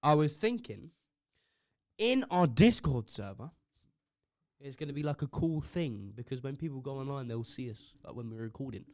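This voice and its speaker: A man's voice: medium pitch, animated, darkish tone, no echo, clean studio sound. Teasing young man. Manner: teasing, quick interjections. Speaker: podcast co-host. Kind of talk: casual chat. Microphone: studio podcast mic.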